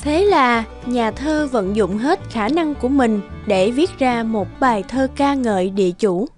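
A woman's voice: fairly high pitch, expressive, unhurried, faint music behind. A woman reading scripted fairy-tale narration for a children's story.

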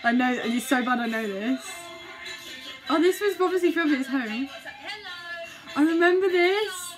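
Gushing young woman, high-pitched casual chat. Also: expressive, moderate pace, rough recording. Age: young adult. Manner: gushing, giggly, exclamatory. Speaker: reaction video vlogger. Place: small bedroom, indoors. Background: music from videos being watched.

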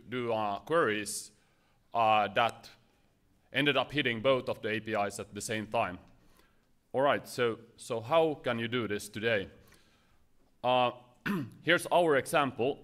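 A man's voice: mid-range voice, a bit bright. Man, conference speaker, nervous, lots of ums and restarts, stage mic. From Finland. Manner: nervous, many fillers and restarts. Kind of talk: short technical conference talk.

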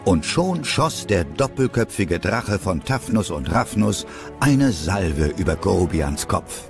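A man's voice: low-pitched, very animated, slow pace, vocal fry, music in the background, heavily acted. A man narrating a children's adventure radio play as a storyteller, in a scripted style.

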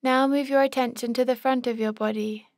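A woman guides a body scan meditation in a calm, measured way. Her voice sits a little high and stays very level, with little rise or fall. She gives short instructions in a close, very dry recording. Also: no echo.

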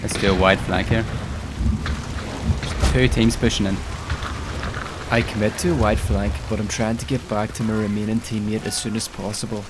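A man's voice: fairly low pitch, normal speed, pauses often. A man talking through gameplay as a video creator, in narration and live team chat. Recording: steady loud background noise. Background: pouring rain and game ambience.

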